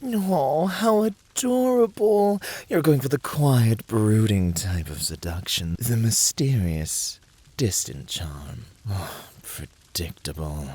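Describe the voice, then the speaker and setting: A man performs a flirty, passionate incubus for an ASMR roleplay. His low voice is husky and swoops freely as he teases. The delivery is unhurried, theatrical and flamboyant. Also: lively intonation, darkish tone, no echo, full character voice. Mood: mocking. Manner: teasing, dramatic, flirtatious delivery.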